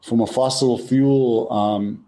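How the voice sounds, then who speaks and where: Mellow-voiced male executive from Israel. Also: medium pitch, steady tone, takes it slowly, low-quality recording. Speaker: data center company executive. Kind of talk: interview answers.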